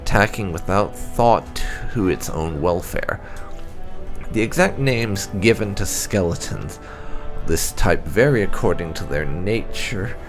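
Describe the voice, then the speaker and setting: A man reading game lore entries aloud as scripted narration, in a formal, measured, deliberate way. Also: low-pitched, animated, music in the background, rough recording. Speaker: gaming channel host.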